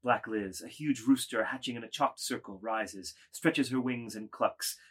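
A man's voice: medium pitch, a little slow, husky. A man narrating a dramatised novel, reading out stage directions as scripted narration.

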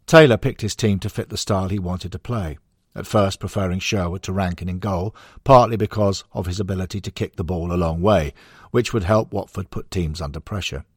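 Very deep-voiced man reading an audiobook. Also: fairly quick, breathy edge, dry recording, clean studio sound. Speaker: narrator reading a non-fiction book. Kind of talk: scripted audiobook narration of sports history.

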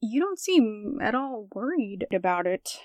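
A woman's voice: mid-range voice, very animated, measured pace, a little mellow, no room sound. A woman playing an efficient, polite and concerned radio station assistant in a 1940s-style radio drama.

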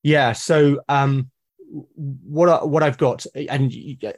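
A British man speaking as a podcast guest in casual conversation, haltingly and often repeating words as he thinks aloud. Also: medium pitch, a little mellow. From Britain. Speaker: podcast guest, solo roleplaying gamer.

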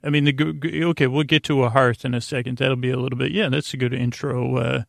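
A man with a creaky voice hosting a bedtime podcast, meandering and halting through a rambling monologue with pauses and tangents. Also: medium pitch, steady tone, dry recording, clean studio sound. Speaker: podcast host. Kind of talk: rambling bedtime monologue to help listeners sleep.

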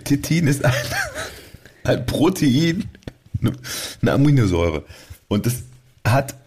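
Guy hosting a jokey podcast, bit husky, mid pitch, rambles playfully. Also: very animated, takes it slowly, darkish tone. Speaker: podcast host. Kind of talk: casual comedic podcast banter.

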